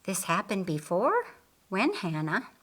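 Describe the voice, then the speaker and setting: A woman's voice: mid-range voice, wildly swooping pitch, measured pace, fairly bright tone, heavily acted. Storybook part: caring, plain-speaking woman friend, reassuring and earnest acted by a woman. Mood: concerned.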